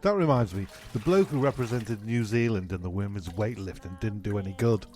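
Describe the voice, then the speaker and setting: A man's voice: fairly low pitch, a little fast, darkish tone, faint music behind, close to an everyday voice. A man playing an exasperated, foul-mouthed castaway in a comedy audio drama, speaking bluntly. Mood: curious.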